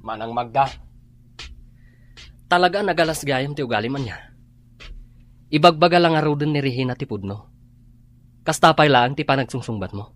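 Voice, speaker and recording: A man acts as an indignant, blunt fellow in an Ilocano radio drama. His voice sits at a medium male pitch. He speaks reproachfully with an Ilocano accent. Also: expressive, normal speed, pauses often, close to an everyday voice. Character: indignant, blunt man confronting an older woman boarder.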